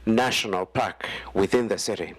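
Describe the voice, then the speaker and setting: A man's voice: on the low side, normal speed, fairly bright tone, husky. Kenyan man asking a formal question. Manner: formal, building his point. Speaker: audience member asking a question. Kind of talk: question from the floor.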